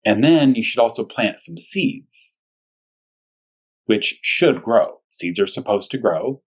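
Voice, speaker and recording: A man speaking as a first grade teacher at storytime, talking to young children in simple, short, repeated phrases, with a husky voice. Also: mid-range voice, sing-song, slow. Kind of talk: storytime read-aloud and discussion for young children.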